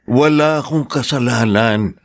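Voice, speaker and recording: A man's voice: mid-range voice, unhurried, creaky. An actor playing a defensive, aggrieved working-class father in jail, with a Filipino accent, in a radio drama. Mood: indignant, defensive. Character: defensive jailed laborer father, aggrieved and rough-living. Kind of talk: Tagalog radio drama acting.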